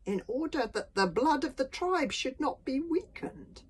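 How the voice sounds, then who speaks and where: A woman voicing a stern, wry old Viking elder in a storybook, measured and needling. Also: sits high, very animated, pitched above the performer's own voice.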